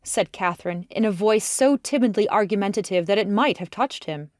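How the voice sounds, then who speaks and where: A woman's voice: medium pitch, a little fast, darkish tone, no room sound, clean studio sound. A woman reading scripted literary narration as an audiobook narrator.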